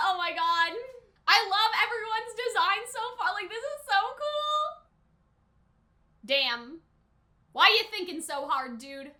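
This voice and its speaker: Bright, high-pitched young woman chatting casually. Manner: informal, chatty, peppered with "like". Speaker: anime reaction and review host. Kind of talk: casual chat and reaction commentary.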